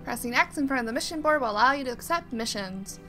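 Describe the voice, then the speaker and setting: Female let's play host, high bright voice, casual chatter and read-aloud game text, game music behind. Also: animated, fast. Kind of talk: casual gameplay commentary, reading on-screen text aloud.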